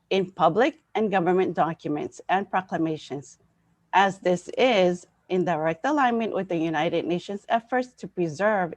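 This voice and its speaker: A woman from Hawaii, a native Hawaiian speaker, reading her prepared testimony at a public hearing in an earnest, deliberate way. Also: fairly low pitch, darkish tone, no room sound.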